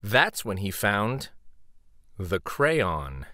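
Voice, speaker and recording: A man reading a children's story aloud dramatically, with theatrical, suspenseful pauses. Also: fairly low pitch, sing-song, sharp tone, no echo, studio quality.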